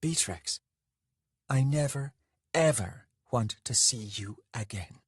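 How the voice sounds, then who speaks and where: Man voicing a furious, high-pitched scorned woman. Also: expressive, a little slow, husky, no echo, clean studio sound. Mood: wounded anger. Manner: indignant, seething, emphatic. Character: angry, wounded woman lover, fierce and indignant. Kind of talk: dramatised audiobook dialogue.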